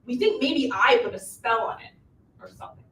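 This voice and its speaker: A woman acting a bossy, scheming, animated witch who insists on steering the story, in a live reading of a comic stage play. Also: fairly high pitch, brisk. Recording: distant, echoey room recording with hiss.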